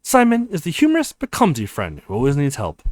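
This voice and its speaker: A theatrical young college guy who sees himself as an anime hero, acted by a male let's player. He is boyish and excitable, with a fairly high, wildly swinging pitch. It is visual novel dialogue with a dry sound. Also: a little fast, no room sound. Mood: excited, boastful.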